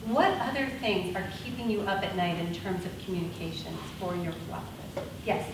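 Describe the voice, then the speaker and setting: A woman leads a conference workshop, talking loudly and laughing as she takes questions. She stands without a mic, so her voice comes from across an echoey room. Steady room noise runs under it. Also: fairly low pitch, brisk, recorded from a distance. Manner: loud voice. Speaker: conference presenter. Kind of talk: workshop presentation with audience questions. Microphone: speaking without a mic.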